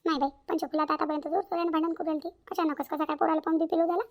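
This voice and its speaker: A woman's voice: very high-pitched, flat delivery, racing. A woman playing a gossipy, judgmental neighbour in a cartoon family drama, exclaiming and repeating herself as she comments. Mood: gossipy, disapproving.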